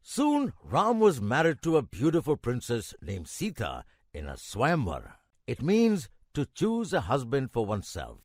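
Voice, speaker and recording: Kindly old grandpa, cartoon storyteller, hugely varied intonation, dry close sound, emphatic pauses. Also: male voice, mid-range voice, no echo, heavily acted. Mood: patient storytelling. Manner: measured, expressive, emphatic pauses. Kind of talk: children's storytelling narration.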